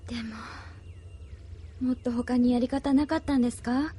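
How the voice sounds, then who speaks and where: A woman's voice: high voice, steady tone, normal speed, dark tone, a little hiss or hum, lightly acted. A woman playing a frank, composed, supportive young female comrade in a Japanese anime audio drama. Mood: frank, reproachful.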